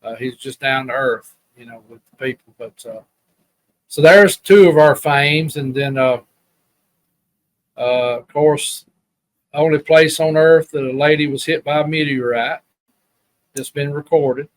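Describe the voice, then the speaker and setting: A man's voice: on the high side, a little slow, pauses often, dry recording. Folksy man with an Alabama Southern accent. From Alabama, USA. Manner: folksy, rambling, plain-spoken. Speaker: podcast guest. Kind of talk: casual reminiscing chat.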